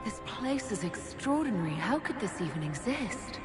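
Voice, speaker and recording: A woman's voice: on the high side, big pitch swings, normal speed. A woman voicing a young elven mage leader in a video game cutscene, questioning and curious. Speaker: player character. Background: game soundtrack music.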